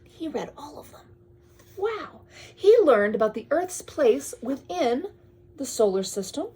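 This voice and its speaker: Slow, expressive woman reading a picture book. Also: high voice. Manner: drawn-out phrasing, often dropping to hushed breathy tones; addresses the child with questions and pointers. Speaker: storyteller reading to a young child. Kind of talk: picture-book read-aloud with chatty asides.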